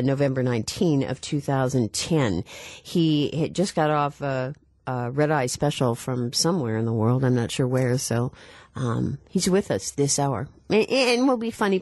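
A Midwestern woman hosts a live radio talk show. Her voice sits low and sounds dry and close, and she speaks in an earnest, measured way. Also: no room sound. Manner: earnest, measured, reads quotes aloud. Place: radio studio.

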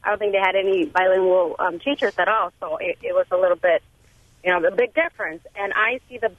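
A woman's voice: lowish voice, fast talker, dry recording. Hesitant woman caller on a phone line. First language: Spanish. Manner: hesitant, many ums.

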